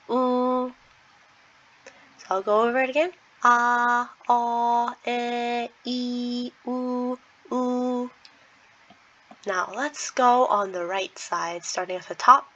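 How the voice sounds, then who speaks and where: A woman's voice: fairly high pitch, a bit bright. A woman teaching a beginner lesson, speaking patiently and demonstrating sounds over and over. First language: English. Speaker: language teacher. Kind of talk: slow explanatory lesson with pronunciation drills. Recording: dull lo-fi home recording.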